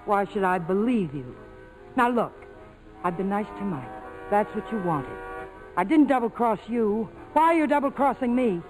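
A woman's voice: medium pitch, animated, moderate pace, close to an everyday voice. A defiant young woman played by an actress in vintage 1940s radio style, wary and clipped in her replies. The voice rings with long reverb, and there is faint music in the broadcast. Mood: indignant, accusing.

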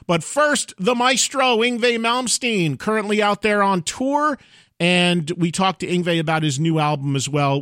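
A man's voice: high voice, fairly bright tone, no room sound, clean studio sound. A middle-aged man hosting a rock interview show, chatty and enthusiastic. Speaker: radio and podcast host. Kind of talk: interviewing a guest.

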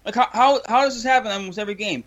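High-pitched young male host, frustrated, repeats himself, casual sports banter. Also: rapid-fire, a bit bright, highs cut off. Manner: frustrated, repetitive, incredulous. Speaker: podcast host.

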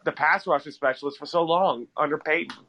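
A man with a somewhat high-pitched voice chats on a sports panel. He recalls games in a casual fan's way, explaining plays conversationally. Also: fairly quick, creaky, sharp tone, poor audio. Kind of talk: casual fan recollection.

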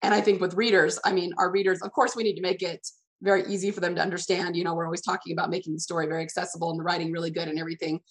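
A woman's voice: on the low side, little pitch movement, racing. A woman interview host chatting casually and discursively, working her points round into questions.

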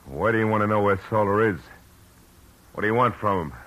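An actor playing a tough, wry, hard-boiled private eye with clipped, world-weary delivery in a 1950s radio drama. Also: male voice, on the low side, brisk, a bit bright, highs cut off, natural voice. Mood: urgent. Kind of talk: 1950s radio detective drama, narration and dialogue. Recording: noisy vintage radio recording.

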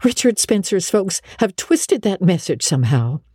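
Woman putting on a stern, scolding farm woman, swooping pitch. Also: sing-song, normal speed, mellow, breathy edge, dry recording, studio quality. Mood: indignant. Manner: emphatic, scolding, curt. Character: stern, brisk, exasperated middle-aged farm woman. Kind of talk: audiobook character acting.

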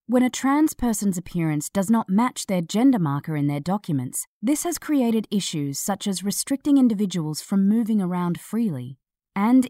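A woman narrating a scripted news article in a measured, serious manner. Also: medium pitch, mellow, dry recording, clean studio sound.

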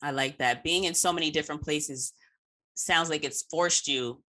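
A woman's voice: sits low, moderate pace, pushed and bright. A woman hosting a casual interview podcast, affirming her guest and thinking aloud in long reflections.

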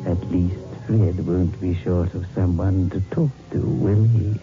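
A sinister, droll horror host on old-time radio. His low, creaky voice crawls along with mock sympathy over music. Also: fairly even intonation, unhurried. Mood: droll, macabre. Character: sinister, wryly macabre horror show host. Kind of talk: 1960s radio horror narration. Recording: tinny old radio recording, music behind.

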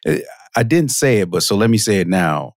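Casting: low, gravelly male guest, animated storyteller, dry close mic. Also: fairly quick, dry recording. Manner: lively storyteller, jumps high for quoted reactions, laughs often. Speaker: podcast guest, marketing automation expert. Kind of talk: casual interview storytelling.

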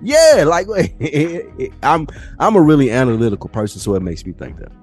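A man's voice: on the high side, wildly swooping pitch, normal speed, faint music behind. A man co-hosting a podcast in casual conversation, slangy and profane, giving his opinions freely. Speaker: podcast co-host.

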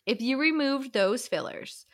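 A woman's voice: sits high, lively intonation, unhurried. A woman co-hosting a book recap podcast in casual, playful, informal language.

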